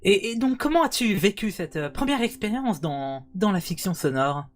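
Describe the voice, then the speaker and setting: A woman's voice: mid-range voice, expressive, normal speed. A woman presenting awards and interviewing on a live show.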